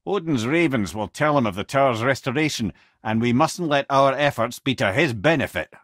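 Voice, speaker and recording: Witty old Scottish lore-teller, video game character, husky voice, animated intonation, theatrical, eloquent, wry. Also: male voice, medium pitch, normal speed, no room sound, clean studio sound. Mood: earnest. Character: wise, witty old male talking head, lore-telling companion. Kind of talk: game character dialogue and storytelling.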